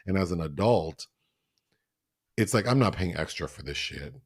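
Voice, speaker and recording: Low-voiced man chatting about films. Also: lively intonation, normal speed, husky, dry recording, clean studio sound. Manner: chatty, many fillers and restarts. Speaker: podcast co-host. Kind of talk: casual film review chat.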